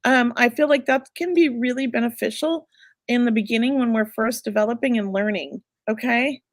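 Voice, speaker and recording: A woman hosting a live psychic reading, chatty and reassuring, going a bit hoarse as she loses her voice. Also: fairly high pitch, moderate pace, no room sound, clean studio sound. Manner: chatty, reassuring, many filler words. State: losing her voice. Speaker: psychic medium host.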